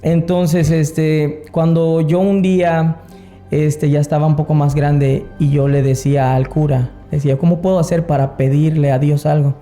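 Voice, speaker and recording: Very mellow, higher-pitched Salvadoran man. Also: flat delivery, moderate pace, soft background music. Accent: Salvadoran Spanish. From San Salvador, El Salvador. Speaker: interview guest, worship singer. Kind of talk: personal testimony, casual storytelling.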